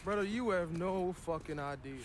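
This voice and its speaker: A man playing a brash, joking young American crook who teases loudly and swears freely in a game cutscene. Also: sits high, normal speed, a little hiss or hum, close to an everyday voice. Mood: teasing. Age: young adult. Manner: teasing, loud, profane.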